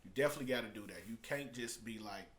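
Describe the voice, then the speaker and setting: Guy doing an off the cuff review, regular pitch, slangy and emphatic, says things over again to drive them home. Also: rapid-fire, very noisy. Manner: emphatic, repetitive, colloquial. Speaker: vlogger reviewing a business book. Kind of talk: casual unscripted review and explanation.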